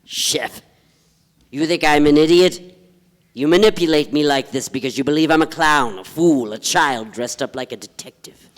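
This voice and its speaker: A man's voice: a little high, moderate pace, natural voice. A man playing a melancholy, pompous poet and part-time detective in a staged play reading, with florid, declamatory delivery. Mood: bitter, sarcastic. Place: live on a theatre stage. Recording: reverberant theatre hall.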